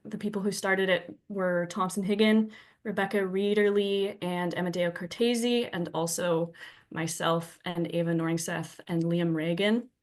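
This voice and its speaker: A young woman from Victoria, British Columbia, a little sick and sniffling, giving a friendly talk to a club audience from home, with many ums and restarts. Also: medium pitch. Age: young adult. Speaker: guest presenter. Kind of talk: remote presentation talk. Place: home, presenting remotely.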